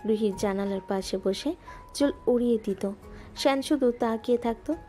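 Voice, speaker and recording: A woman gently narrating a scripted romantic audio story. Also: a little high, normal speed. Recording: music bed underneath.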